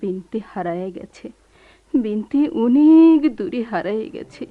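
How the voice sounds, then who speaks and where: A woman's voice: fairly high pitch, animated, unhurried. A woman acting a heartbroken, free-spirited young hill woman, plaintive and repetitive, in a rustic Bengali dialect. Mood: mournful, resolute. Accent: rustic regional Bengali dialect. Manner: lilting, plaintive, repeating phrases. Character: heartbroken, free-roaming young hill-tribe woman refusing old love. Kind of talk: Bengali radio drama acting. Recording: dull lo-fi recording with music behind.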